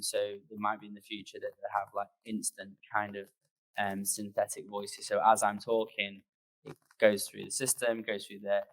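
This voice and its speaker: A man's voice: on the low side, poor audio. A man speaking as a conference presenter in an informal, conversational way, explaining things loosely and taking audience questions.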